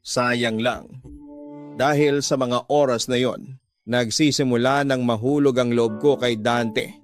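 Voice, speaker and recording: A man with a Filipino accent narrates a radio drama in the first person. His medium-pitched voice carries the scripted story with feeling, over background music. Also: steady tone, slow. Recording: music bed behind the voice.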